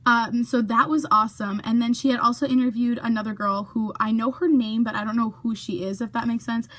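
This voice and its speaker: A woman's voice: on the high side, steady tone, brisk, a bit bright. A young woman chatting casually to her camera in a vlog, full of fillers, sometimes dropping to a whisper for asides. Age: young adult. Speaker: YouTuber.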